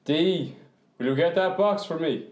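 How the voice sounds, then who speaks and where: Man playing an easygoing, low-key Irish man. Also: a little slow, over loud music, lightly acted. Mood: mild, resigned. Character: mild, easygoing, gently disapproving Irish man. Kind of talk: naturalistic radio drama dialogue.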